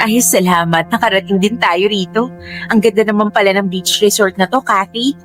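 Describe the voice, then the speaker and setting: A woman's voice: medium pitch, fairly even intonation, normal speed, lightly acted. An actress playing a breezy, self-assured, lovestruck young Filipino woman in a radio drama, playful and chatty. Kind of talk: Tagalog radio drama acting. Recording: music bed under dialogue.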